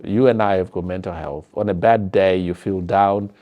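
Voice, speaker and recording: A man's voice: low-pitched, steady tone, moderate pace, dull audio. A man with a Zimbabwean accent, a health professional giving an earnest, explanatory interview.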